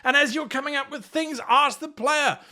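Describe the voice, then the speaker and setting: A man's voice: extremely high pitch, normal speed, bright, forceful tone. A man hosting a tabletop role-playing lesson, giving game master advice in a theatrical, exaggerated manner. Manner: theatrical, exaggerated, acts out mock dialogue.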